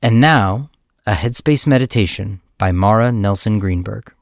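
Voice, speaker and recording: A man hosting an audio play series, reading a scripted introduction in a measured, clearly phrased way. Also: lowish voice. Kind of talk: scripted introduction, read aloud.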